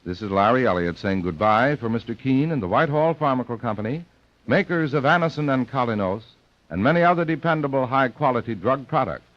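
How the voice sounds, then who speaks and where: A man's voice: mid-range voice, lively intonation, fairly quick. An American man as a polished mid-century radio announcer, reading credits and sponsor copy emphatically. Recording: muffled old radio broadcast.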